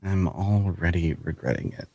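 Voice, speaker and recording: A man's voice: low voice, moderate pace, dark tone, dry recording. A man playing a grumpy, evasive loner in a fantasy audio drama, speaking in a dry, curt, hesitant way. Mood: weary, reluctant. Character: guarded, grumpy, evasive loner male traveler. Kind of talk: dark fantasy audio drama table read.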